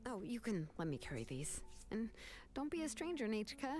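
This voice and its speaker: A woman's voice: fairly high pitch, very animated, a little fast, darkish tone. A woman playing an easygoing, kind and thoughtful young woman in an anime game, reassuring and considerate. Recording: faint music behind the voice.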